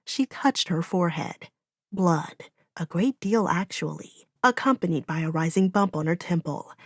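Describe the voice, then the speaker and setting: A woman's voice: lowish voice, animated, normal speed, no echo. Woman narrating fantasy, dry close sound. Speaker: audiobook narrator. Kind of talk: scripted fantasy story narration.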